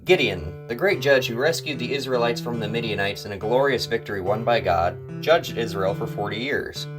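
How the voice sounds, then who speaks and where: A man's voice: mid-range voice, normal speed, music in the background. A man hosting and narrating a story in a dramatic, scripted style. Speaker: host and narrator.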